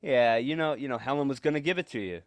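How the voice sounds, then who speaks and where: A man's voice: medium pitch, fast talker, dry recording, lightly acted. A man acting a nervous, secretive husband with menace under his evasive stammer, in 1940s radio suspense style. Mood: coaxing, uneasy. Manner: stammering, evasive, repeats words.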